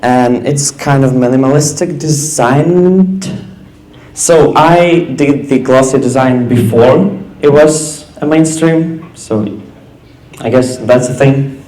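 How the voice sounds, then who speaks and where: A young man giving a casual app demo on stage, halting with many fillers. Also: medium pitch, warm tone, roomy sound, rough recording. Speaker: student presenter. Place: event stage.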